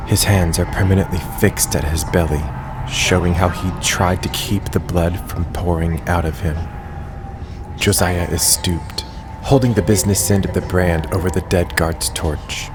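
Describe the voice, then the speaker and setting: An actor plays a bitter young nobleman with a deep, low voice, telling his memory in grim first-person narration. A musical score plays under his voice in this audio drama. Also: lightly acted. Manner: grim, seething inner narration. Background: orchestral score under the voice.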